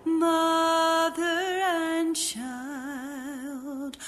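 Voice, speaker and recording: A woman singing a carol slowly in a lullaby style. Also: very high voice, little pitch movement, faint music behind. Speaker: singer. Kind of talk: slow a cappella carol singing.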